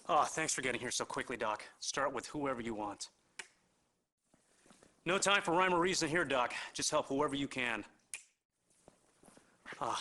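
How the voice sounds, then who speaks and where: Harried male responder, bright tense voice, acted. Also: medium pitch, close to an everyday voice. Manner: urgent, informal. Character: harried male emergency responder, urgent and overwhelmed. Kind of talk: acted video game cutscene.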